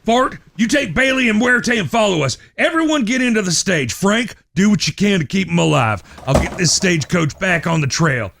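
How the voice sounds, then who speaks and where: An actor playing a foul-mouthed, commanding gunslinger who barks urgent orders in a western audio drama. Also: male voice, high voice, lively intonation, moderate pace, gravelly, few pauses, a bit bright, slight background noise, exaggerated voice.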